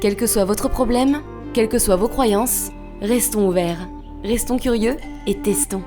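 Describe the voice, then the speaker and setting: A woman's voice: mid-range voice, a little slow, music in the background. A woman hosting a podcast, reading an upbeat intro and then interviewing a guest in a casual, chatty way. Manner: upbeat, chatty, lightly self-mocking. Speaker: podcast host. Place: empty yoga room with echo.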